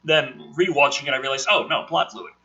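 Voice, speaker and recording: A man with a rough voice reading and criticizing a film script, exasperated, sweary and incredulous. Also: mid-range voice, fairly quick, pushed and bright, muffled recording. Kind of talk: script reading with ranting commentary.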